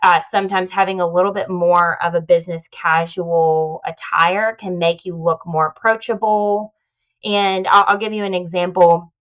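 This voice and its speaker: Woman guest expert, lowish voice, polished advice-giving tone, slightly muffled remote audio. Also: steady tone, moderate pace, fairly bright tone. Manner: polished, confident, instructive. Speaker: guest speaker on public speaking. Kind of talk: conversational podcast advice.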